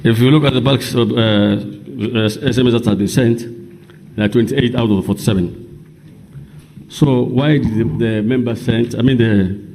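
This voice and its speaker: A man's voice: medium pitch, steady tone, measured pace, darkish tone, poor audio. A man, speaking formally as a senator questioning a witness on documents. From Kenya. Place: parliamentary chamber. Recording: clear background noise, live chamber.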